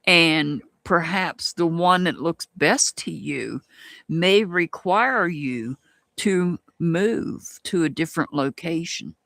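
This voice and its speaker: This tarot reader has a Southern US accent and talks in an unhurried, drawn-out way, often stopping mid-thought to start over. The voice sounds dry and close, with no room echo, as the reader chats through a live-stream reading. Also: medium pitch, animated, vocal fry, a bit bright. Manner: slow, musing, restarts often.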